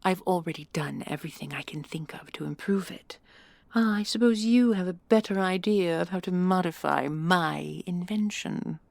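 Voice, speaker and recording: A woman's voice: fairly low pitch, moderate pace, creaky, dry recording. A woman playing a proud, sardonic commandant and inventor in a sci-fi audio drama, with a dry, haughty manner. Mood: grudging, curious. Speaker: voice actor and creator. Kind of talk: sci-fi audio roleplay acting.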